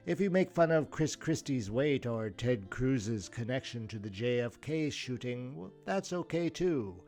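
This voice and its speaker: Male podcast host, mid-pitched, measured and wry scripted commentary, music underneath. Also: animated, a little mellow. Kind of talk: scripted commentary over audio clips. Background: instrumental music.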